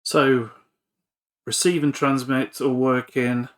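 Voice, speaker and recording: A man's voice: mid-range voice, little pitch movement, slow pace. A man giving an informal, hesitant, rambling commentary on a repair at his workbench, with a self-effacing manner. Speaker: hobbyist electronics repairer and video presenter.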